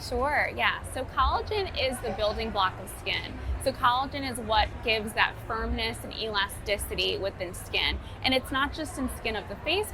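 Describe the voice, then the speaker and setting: A woman dermatologist on a live panel, explaining in a friendly, clear way. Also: medium pitch. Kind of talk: panel discussion. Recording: live event with clear noise.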